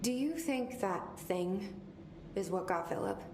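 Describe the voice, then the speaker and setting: A woman's voice: mid-range voice, expressive, takes it slowly. A woman playing a terse, composed, serious agency director in a video game. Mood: grave, understated. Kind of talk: video game dialogue acting. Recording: roomy, clearly noisy game audio in stream.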